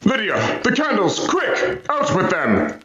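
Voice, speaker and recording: An actor playing a cruel, commanding vampire in a radio horror drama, with drawn-out, menacing, theatrical delivery. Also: male voice, sits high, huge pitch swings, fairly bright tone, muffled recording, exaggerated voice. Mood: alarmed urgency. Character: sinister gloating male vampire, cruel and commanding.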